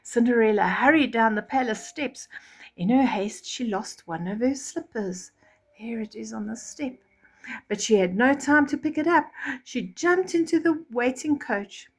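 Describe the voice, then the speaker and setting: Expressive woman reading a children's fairy tale. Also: a little high, measured pace, slightly rough. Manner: animated, acts out reactions. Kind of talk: expressive storybook narration with chatty asides.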